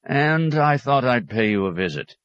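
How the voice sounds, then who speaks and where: A man's voice: medium pitch, very animated, normal speed, fairly bright tone, lo-fi sound, pitched above the performer's own voice. A man voicing a good-humoured elderly abbot in an audiobook, chiding in a familiar, mock-stern way. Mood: amused, exasperated. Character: elderly abbot, good-humoured, exasperated old friend of hermit.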